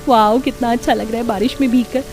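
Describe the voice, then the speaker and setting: A woman's voice: fairly high pitch, fairly even intonation, fast, a little mellow, soft background music, natural voice. A woman voicing a sweet, eager, dutiful young new bride in a cartoon story. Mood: excited.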